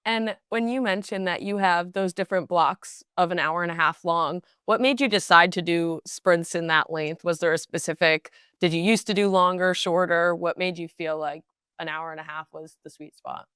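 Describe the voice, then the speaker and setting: A woman's voice: on the low side, normal speed, a bit bright, clear, resonant tone, dry recording, studio quality. A woman co-hosting a podcast, asking questions in a conversational style. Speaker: podcast co-host.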